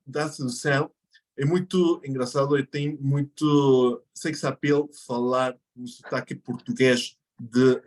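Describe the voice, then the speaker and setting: A man from Mexico, a native Spanish speaker, chats as an interview guest. His voice sits at an ordinary middle pitch. He explains his points patiently, pausing and correcting himself along the way. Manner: explanatory, thinks aloud with pauses and self-corrections. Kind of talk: casual interview conversation.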